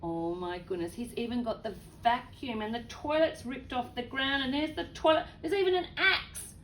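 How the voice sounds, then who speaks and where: A woman reading a children's story aloud in an expressive way, with chatty, exclaiming asides to young listeners. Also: fairly high pitch, moderate pace, slight background noise.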